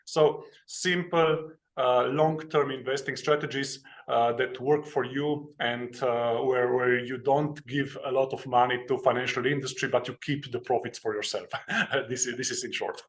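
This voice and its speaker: A man's voice: mid-range voice, steady tone, moderate pace. A man from Slovenia, a guest telling his story in an interview, plain and earnest, with many hesitations. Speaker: guest, author and investing educator.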